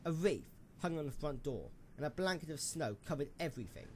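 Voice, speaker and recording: A man's voice: on the high side, lively intonation, brisk, a little mellow, slight background noise. A man reading scripted story narration for an amateur radio play in a dramatic storytelling style.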